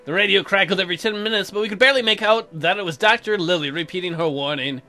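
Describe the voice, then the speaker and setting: An irreverent gamer reading a visual novel aloud with crude, animated joking commentary. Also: mid-range voice, quick pace, faint music behind. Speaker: let's player. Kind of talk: joking playthrough commentary and dramatic read-aloud.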